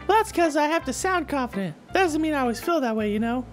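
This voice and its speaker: Visual novel club leader, played by a woman: teenage girl, high-pitched, upbeat, encouraging, light music underneath. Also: lively intonation, fast. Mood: cheery. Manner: cheery, chatty, gently coaching. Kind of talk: visual novel character dialogue read aloud. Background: soft background music.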